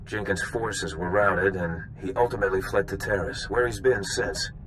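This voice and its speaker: A man's voice: lowish voice, steady tone, normal speed, fairly bright tone, a little hiss or hum, natural voice, low-quality recording. An actor playing a seasoned bounty hunter in a video game, wry and knowing as he lays out old history. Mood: explaining, cautionary.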